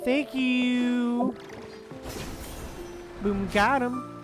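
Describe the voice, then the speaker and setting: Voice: mid-range voice, steady tone, drawn-out words. A game streamer giving casual live commentary, repeating phrases and muttering with offhand swearing. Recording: game music behind the voice. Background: game music.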